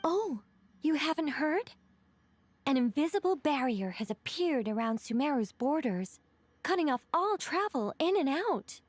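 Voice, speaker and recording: High-voiced, poised woman. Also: sing-song, unhurried. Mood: grave, informative. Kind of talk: video game dialogue.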